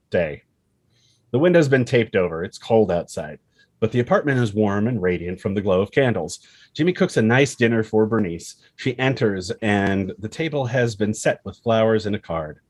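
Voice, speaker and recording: A man's voice: medium pitch, no room sound. A man reading stage directions as the narrator of a screenplay table read.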